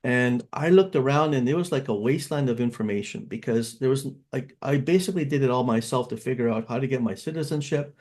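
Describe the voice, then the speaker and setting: A man's voice: medium pitch, fairly quick, hardly any pauses, a little mellow. Casual middle-aged man hosting a webinar. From Canada. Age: 50s. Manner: casual, conversational, with frequent filler words. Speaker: host and financial advisor. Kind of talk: online seminar presentation.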